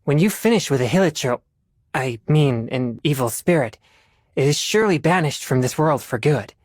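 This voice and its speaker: A man's voice: medium pitch, lively intonation, no echo, studio quality, lightly acted. An actor playing an earnest, disciplined teenage boy exorcist in a video game, speaking formally in a youthful voice. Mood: earnest, composed. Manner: formal, measured, earnest delivery. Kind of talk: scripted video game character dialogue. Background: music.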